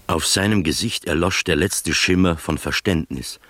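An actor playing an observant first-person storyteller, narrating a literary radio drama in measured fashion. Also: male voice, low voice, a bit bright, no room sound, clean studio sound.

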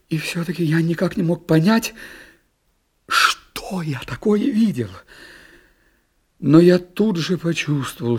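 A man narrating classic prose in an audiobook, dramatic and expressive, swinging between raised passages and hushed, near-whispered ones. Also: on the high side, breathy edge. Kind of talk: classic literary audiobook reading.

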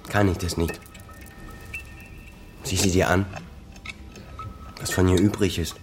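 An actor playing a bitter, wounded grown son who speaks in a reproachful, sardonic way in a radio drama. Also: male voice, low voice. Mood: pleading, reproachful. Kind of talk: German radio drama dialogue.

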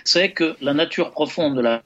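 A man's voice: a little high, normal speed, fairly bright tone, muffled recording. A man, a physicist guest on a radio show, explaining ideas carefully and searching for words.

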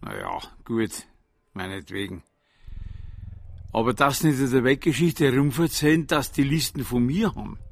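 An actor playing a stuffy, wary older veterans' club official with a Bavarian accent, defensive and folksy. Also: male voice, medium pitch, moderate pace, vocal fry. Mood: grudging.

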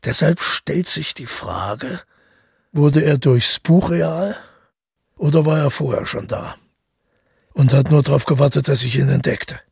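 An actor playing a brooding, haunted man who tells his past in a halting, intimate audio drama monologue with a husky, gravelly voice. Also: fairly high pitch, a little mellow, dry recording, studio quality, heavily acted. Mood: agitated, questioning. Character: brooding, haunted male first-person narrator recalling the past.

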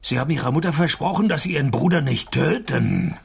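An actor playing a sardonic, laconic private detective in a radio play, with a gravelly voice and dry wit. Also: male voice, on the high side, animated, a little fast, no echo, full character voice. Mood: probing. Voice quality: gravelly, creaky. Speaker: narrator and lead. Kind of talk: radio play narration and dialogue.

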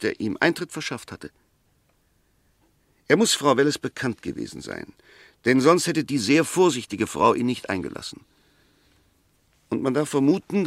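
An actor in a 1960s German radio play as an eloquent, argumentative defence advocate, speaking with precise, emphatic, scornful rhetoric. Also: male voice, medium pitch, no room sound. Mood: measured reasoning. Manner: rhetorical, emphatic, pointed questions, precise diction.

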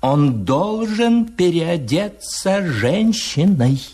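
An actor playing a scheming ship's purser in a radio drama, speaking in a deliberate, theatrical way. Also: male voice, high-pitched, big pitch swings, darkish tone, slight background noise, exaggerated voice. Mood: sly, confident. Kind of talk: Soviet radio drama acting.

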